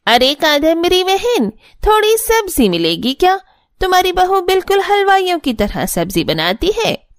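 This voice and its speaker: Woman doing a nosy greedy aunty next door, cartoon, really high voice, gushy and wheedling. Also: wildly swooping pitch, moderate pace, heavily acted. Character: greedy gushing middle-aged woman neighbour. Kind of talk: cartoon family drama.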